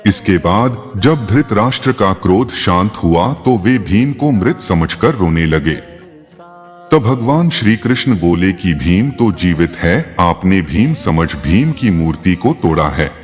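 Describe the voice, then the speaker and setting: A man's voice: deep voice, expressive, unhurried. A man narrating scripted mythological tales in a formal, dramatic storytelling style. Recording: long reverb, faint music behind. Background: faint background music.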